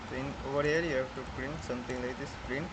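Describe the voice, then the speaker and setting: A man teaching a programming lesson from India, talking haltingly with many fillers and restarts as he codes. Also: mid-range voice, steady tone. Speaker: programming tutor. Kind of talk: screen-recorded coding lesson. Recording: loud background noise with air conditioning.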